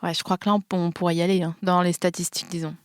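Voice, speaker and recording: A woman in her thirties hosting a casual podcast, an animated storyteller who laughs often. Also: lowish voice, fast, no echo, studio quality. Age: 30s. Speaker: podcast host.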